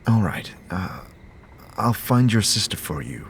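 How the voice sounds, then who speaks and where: A man's voice: fairly low pitch, sing-song, a little slow, slight background noise. A man playing a terse, grim wandering hero in an audio drama. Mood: grim, reserved.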